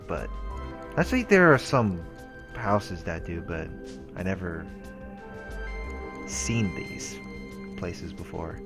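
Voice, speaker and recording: Low-voiced man with music behind. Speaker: let's play host. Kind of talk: reading game narration aloud with casual commentary. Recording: clear game music behind the voice. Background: visual novel music.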